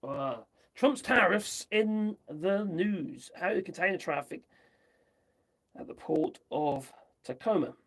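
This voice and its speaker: A man from the UK, chatting his way through the news on a livestream, rambling and tossing in tag questions, his voice going hoarse. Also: high-pitched, lively intonation, slow, fairly bright tone. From United Kingdom. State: losing his voice. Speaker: livestream host. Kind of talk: casual commentary on news.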